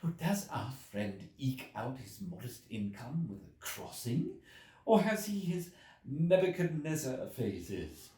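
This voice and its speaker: A man's voice: mid-range voice, sing-song, slow, warm tone, voice pushed up. A man voicing a hilarious, scoffing newspaper editor in an audiobook, theatrical and teasing. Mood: mocking curiosity. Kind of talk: audiobook character voice. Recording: roomy echo, distant from mic.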